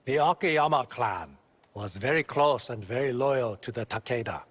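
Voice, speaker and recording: Very raspy feudal sage. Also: male voice, mid-range voice, expressive, moderate pace, fairly bright tone. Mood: knowing, reflective. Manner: formal, cautionary. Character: sage, formal feudal collector of clan lore. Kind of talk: video game cutscene acting.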